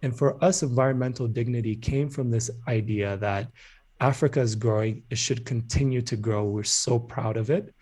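A man's voice: medium pitch, steady tone, normal speed, darkish tone. A man from Ethiopia speaking as a startup founder and guest in a conversational podcast interview.

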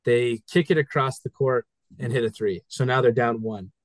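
A man's voice: mid-range voice, moderate pace, no room sound. A man co-hosting a casual sports podcast, eagerly walking through a game's final play. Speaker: guest co-host.

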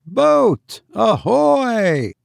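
A man's voice: extremely high pitch, big pitch swings, very slow, vocal fry, dry recording, voice pushed up, full character voice. A man voicing a bold, practical sailor who speaks bluntly and colloquially, calling out in an audiobook. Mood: hailing. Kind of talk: audiobook character acting.

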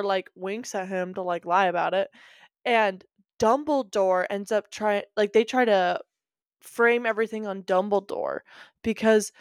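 A woman's voice: clean tone, dry recording, studio quality. Rambling woman on a casual podcast. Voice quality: rambling, filler-heavy, laughs often; deeper voice. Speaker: podcast co-host. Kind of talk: casual chat.

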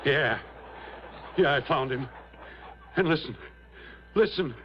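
An actor playing a distraught rustic miner in an old radio drama, halting and repeating himself in folksy, uneducated grammar. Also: male voice, a little high. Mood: shaken, dazed. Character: distraught rustic gold miner who found his partner murdered. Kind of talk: 1950s-60s radio drama acting. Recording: muffled old radio broadcast, faint noise.

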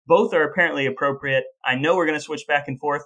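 A man's voice: on the high side, quick pace, sharp tone, lo-fi sound. An American man co-hosting a podcast in a conversational style. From United States. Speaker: podcast co-host.

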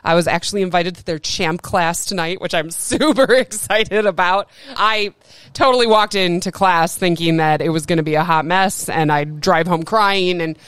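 A woman's voice: on the low side, moderate pace, clean tone, no echo. A woman hosting a podcast, talking in a chatty, upbeat way with frequent laughs, with the ease of a former radio broadcaster. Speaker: podcast host. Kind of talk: casual solo podcast monologue.

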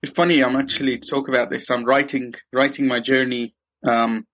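A man's voice: medium pitch, level tone, a little fast, a bit bright. Hesitant man from Kenya telling his story. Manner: hesitant, many fillers and restarts, laughs easily. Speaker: dentist and guest. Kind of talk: casual interview storytelling.

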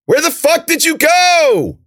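A man's voice: very high-pitched, very animated, moderate pace, gravelly, husky, dry recording, studio quality, pitched above the performer's own voice, exaggerated voice. A man voicing a panicked, exasperated young man in an audiobook story, with an urgent, incredulous, sweary delivery. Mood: alarmed shouting.

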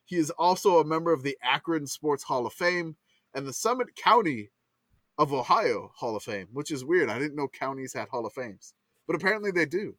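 Louisiana guy rambling on a podcast, kinda high voice, dead dry room. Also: lively intonation, normal speed, fairly bright tone, dry recording. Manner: rambling, self-deprecating, laughs at himself. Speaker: podcast co-host. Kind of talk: casual chat.

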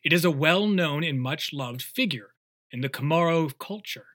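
A man's voice: fairly high pitch, expressive, normal speed, fairly bright tone. A man reading a scripted introduction as a podcast host.

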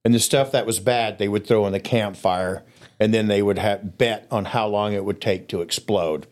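Podcast guest: man, lowish voice, relaxed rambling storyteller, a bit coughy. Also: moderate pace. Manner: relaxed, rambling with fillers and restarts. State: coughing, throat scratchy.

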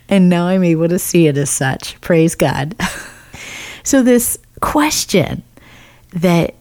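Low, slow-talking woman podcast host. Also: no room sound. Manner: earnest, encouraging, addresses listener as friend; scripted upbeat intro, then relaxed musing talk. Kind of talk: faith-based podcast talk.

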